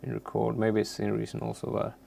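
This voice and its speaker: A man who is a non-native English speaker presents an unscripted software tutorial in a halting way, searching for words. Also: lowish voice, completely flat delivery, creaky, very noisy. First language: not English.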